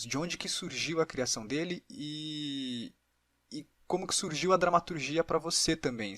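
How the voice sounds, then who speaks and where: A Brazilian man hosts a podcast interview in a casual, conversational way. His voice is mid-pitched, and the sound is dry with no room echo. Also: fairly bright tone.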